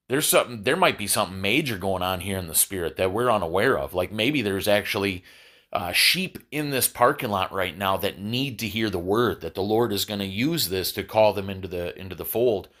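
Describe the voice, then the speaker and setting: Congested nasal man, halting speech. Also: lowish voice, a bit bright. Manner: halting, repeats words while thinking. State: congested with a cold. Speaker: podcast host. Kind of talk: solo religious podcast monologue. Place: his apartment.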